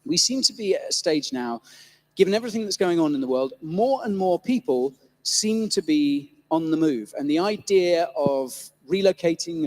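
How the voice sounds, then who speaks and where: A man's voice: a little high, darkish tone. A man hosting and moderating a live panel on stage, introducing guests and asking questions, often correcting himself mid-sentence. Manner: rambling, self-correcting introductions. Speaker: host and moderator. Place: event stage before a live audience. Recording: long venue reverb.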